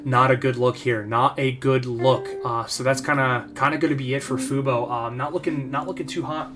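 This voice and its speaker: A man hosting a market analysis channel, slightly hoarse, talking bluntly and emphatically with lots of fillers and repeated phrases. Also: mid-range voice, steady tone, moderate pace, over music. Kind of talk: casual chart analysis talk.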